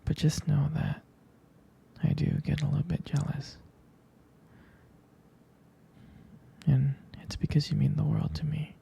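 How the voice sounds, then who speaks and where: A man playing a jealous, devoted young boyfriend in an intimate ASMR roleplay, speaking in a hesitant, tender, breathy half-whisper. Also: fairly high pitch, monotone, normal speed, warm tone, full character voice. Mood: possessive, pleading.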